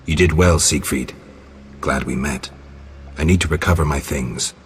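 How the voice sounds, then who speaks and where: An actor playing a sardonic, terse monster hunter in a video game, with a dry, laconic delivery. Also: male voice, rumbling low, normal speed, a little hiss or hum. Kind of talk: video game character acting. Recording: loud music behind the voice.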